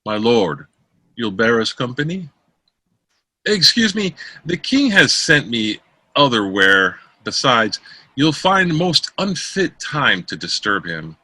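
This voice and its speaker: A man reading Shakespeare verse drama aloud as an amateur, in a theatrical, declaiming manner with a husky voice. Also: mid-range voice, sing-song, takes it slowly, pushed and bright, no echo. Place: close dry room, online call.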